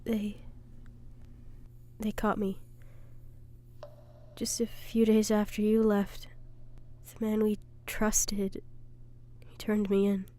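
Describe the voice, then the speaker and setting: Woman acting a hushed, slow, gentle captive wife. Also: medium pitch, flat delivery, lightly acted. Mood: halting, pained. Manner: soft, breathy, hushed and soothing. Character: battered, gentle, devout young wife held captive. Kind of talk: audio drama acting.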